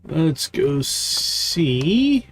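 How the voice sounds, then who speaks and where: A man's voice: a little high, big pitch swings, drawn-out words, soft background music. A man giving casual, chatty livestream game commentary, playful and given to singsong humming and repeated words. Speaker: livestream gamer host.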